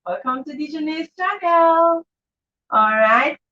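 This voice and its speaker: A woman's voice: high voice, lively intonation, measured pace. A woman enthusiastically hosts a children's livestream, greeting viewers and often repeating her phrases. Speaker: host of children's live read-aloud show. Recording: dull, distant livestream microphone.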